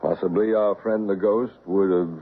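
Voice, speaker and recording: Deep, husky radio-drama investigator played by a man. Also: fairly even intonation, normal speed, natural voice. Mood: calm assurance. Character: calm, courteous paranormal investigator, wryly reassuring. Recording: muffled phone-like old radio recording.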